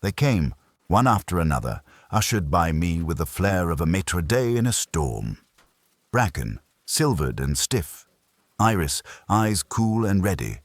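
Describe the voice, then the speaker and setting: A man with a husky voice reading a scripted first-person detective story. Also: low voice, sing-song, unhurried, dry recording. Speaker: audiobook narrator.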